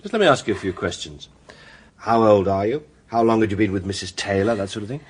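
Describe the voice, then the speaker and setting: Self-assured barrister, ladies' man, suave, husky, very varied pitch, radio drama. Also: animated, fast talker. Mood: soothing, courteous.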